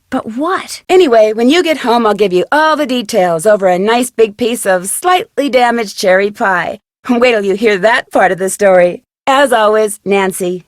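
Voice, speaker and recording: A woman voicing a bright, inquisitive young American amateur detective in a video game, speaking in a clear, articulate, friendly way. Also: mid-range voice, no room sound, clean studio sound, lightly acted. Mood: chatty, recounting. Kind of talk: video game voice acting, scripted narration.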